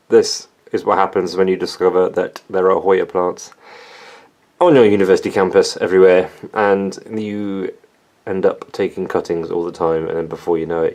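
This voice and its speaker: A man's voice: deep voice, mellow. A young man with an English accent, from England, chatting casually and poking fun at himself in a plant vlog. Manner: self-mocking, dry humor. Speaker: plant vlogger.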